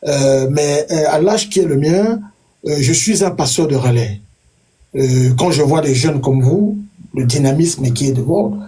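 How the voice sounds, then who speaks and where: A man's voice: medium pitch, dark tone, husky, recorded from a distance. A middle-aged man from Cameroon, speaking as a guest from his home office, emphatic and repetitive, with many hesitant fillers. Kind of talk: impassioned live online interview.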